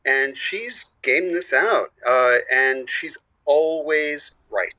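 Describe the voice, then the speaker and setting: An actor playing a nervous young man calling in about his mother and girlfriend, hesitant and halting. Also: on the high side, pushed and bright, no room sound. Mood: anxious. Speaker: caller. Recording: muffled phone-line caller sound.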